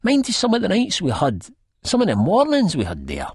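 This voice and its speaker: Husky Edinburgh waster, nervous and rambling. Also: male voice, sits high, huge pitch swings, fairly quick, dry recording, full character voice. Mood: nervous, pleading. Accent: Scottish, Edinburgh. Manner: rambling, colloquial, self-deprecating. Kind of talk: first-person audiobook narration in dialect.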